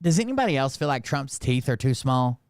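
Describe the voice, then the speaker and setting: A man from Montreal hosts a casual political commentary show. His voice is clean and smooth, recorded close and dry. He talks bluntly, with plenty of swearing and a mocking edge. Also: mid-range voice, sing-song, a little fast, dry recording. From Montreal, Canada. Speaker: host commenting on political clips.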